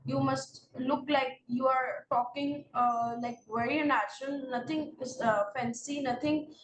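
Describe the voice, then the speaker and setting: A high-pitched woman with a Pakistani English accent gives earnest, direct coaching in the second person, often pausing on fillers. She sits back from the mic in a reverberant room with faint background ambience. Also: fairly even intonation, recorded from a distance, slight background noise. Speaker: exam prep instructor. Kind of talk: spoken advice to exam candidates.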